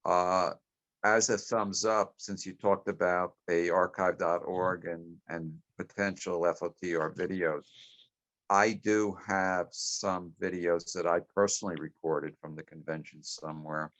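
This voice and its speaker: A low-voiced man offers reflective remarks in an online meeting. His voice is dry and close, with no room around it, in a conversational group-call style. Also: fairly even intonation, unhurried, a bit bright, dry recording, muffled recording. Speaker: participant commenting at an online club meeting. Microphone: video call.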